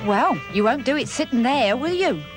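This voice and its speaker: An actress playing a hinting, exasperated wife and mum in a comic advert. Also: female voice, high-pitched, lively intonation, moderate pace, over music.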